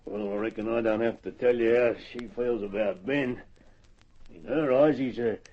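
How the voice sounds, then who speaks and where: A man's voice: medium pitch, normal speed, lightly acted. An actor playing a blunt, teasing bush horseman with an Australian accent and folksy country speech in an old radio drama. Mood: guarded, earnest. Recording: muffled old radio transcription recording.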